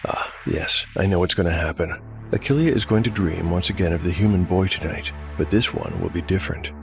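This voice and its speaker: Low, gravelly male storyteller over music. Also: a little fast. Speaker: solo game master and narrator. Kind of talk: dramatic storytelling narration with game commentary. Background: prominent music.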